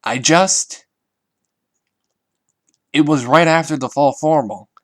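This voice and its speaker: Brief: man voicing a reformed, guilt-ridden teen girl, hesitant and soft-spoken, storybook reading. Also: fairly high pitch, slow pace, no echo, studio quality. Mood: defensive. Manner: hesitant, quiet and defensive.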